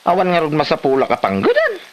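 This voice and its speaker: A man's voice: on the high side, huge pitch swings, quick pace. A man playing a lazy young husband with a brusque, dismissive manner in a comedy drama. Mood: sulky, bossy.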